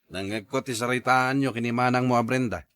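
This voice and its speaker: A man's voice: medium pitch, fairly even intonation, brisk, a bit bright, clear, resonant tone, close to an everyday voice. A man playing an easygoing, pleasure-seeking husband who talks casually and coaxingly in a radio drama.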